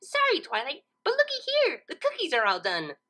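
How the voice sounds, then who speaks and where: High, bubbly, excitable cartoon pony. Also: female voice, moderate pace, full character voice. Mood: bubbly, excited. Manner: giggly, excitable, breezily confident. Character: bubbly cheerful scatterbrained young female cartoon pony.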